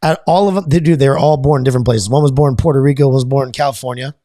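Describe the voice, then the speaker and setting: A man's voice: mid-range voice, rapid-fire, mellow, no echo, clean studio sound. A man from Florida with a Florida accent, chatting casually as a podcast host and rambling with frequent repeats and restarts. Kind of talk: casual chat.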